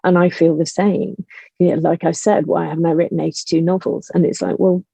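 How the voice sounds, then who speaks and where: Female interview guest, mellow voice with vocal fry, emphatic and impassioned, casual chat. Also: fairly low pitch, a little fast, no room sound. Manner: emphatic, animated storytelling with exasperated rhetorical questions. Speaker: guest, audio drama writer. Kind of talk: passionate casual conversation.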